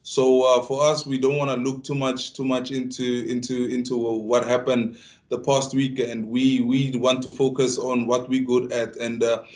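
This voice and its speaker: A South African man, Tsonga by first language, answering at a press conference as a rugby player. His pitch barely moves and his delivery stays level. He stumbles and restarts often, repeating words as he searches for them. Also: little pitch movement, normal speed, a little mellow.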